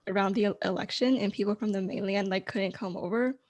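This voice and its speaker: A young woman co-hosting a podcast, chatting in a relaxed way with dry little asides. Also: mid-range voice, quick pace. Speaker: podcast co-host. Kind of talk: casual chat.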